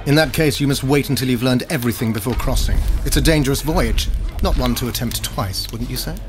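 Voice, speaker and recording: An actor playing a sly, arrogant, cynical court mage in a video game, speaking formally and smoothly with condescension. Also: male voice, medium pitch, brisk, faint music behind, close to an everyday voice. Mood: measured, probing. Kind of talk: video game dialogue acting.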